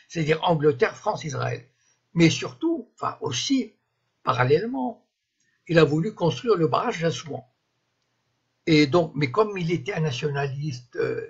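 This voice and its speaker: A man in his seventies from Morocco, whose first language is Moroccan Arabic, giving an unscripted live-stream monologue, often pausing and restarting to find his words. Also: on the high side, gravelly, husky. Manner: halting, searching for words, frequent restarts. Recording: dull lo-fi live-stream audio.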